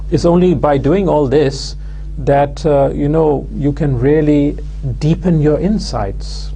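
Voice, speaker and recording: A man, giving a recorded university lecture in a measured, hesitant way full of "uh" and "um" fillers. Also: a little high, warm tone, a little hiss or hum, low-quality recording. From London, England. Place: studio with plain backdrop.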